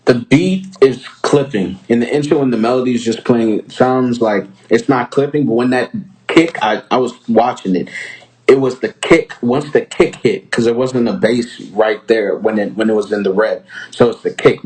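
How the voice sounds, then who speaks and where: A man's voice: medium pitch, moderate pace, a little mellow, low-quality recording. A man from Trinidad and Tobago hosting a music showcase, giving casual, slangy, encouraging feedback as a producer.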